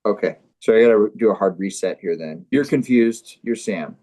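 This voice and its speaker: A man performing on a comedy show, bantering casually and reading through a script in a mock-serious, deadpan way. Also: lowish voice, brisk.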